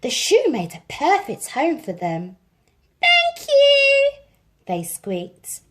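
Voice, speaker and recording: A woman reading a children's picture book aloud as an expressive storyteller. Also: mid-range voice, slow pace.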